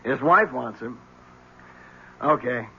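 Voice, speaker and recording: An actor playing a wry, vain police desk lieutenant, husky and dryly sardonic, in old-time radio mystery style. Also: male voice, mid-range voice, lively intonation, slow, noisy background. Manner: dry, sardonic. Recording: dull vintage radio recording.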